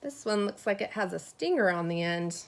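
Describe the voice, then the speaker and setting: A woman teacher reading a children's picture book aloud expressively, pausing to put questions and asides to her young listeners. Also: medium pitch, animated.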